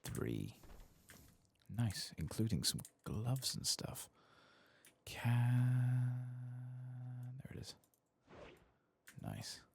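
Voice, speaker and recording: A man doing soft-spoken ASMR commentary as he plays a game, murmuring to himself in a low-key way. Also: sing-song, extremely slow, gravelly, long pauses, breathy edge. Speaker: game streamer, host of an ASMR playthrough. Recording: keyboard and mouse clicks behind voice.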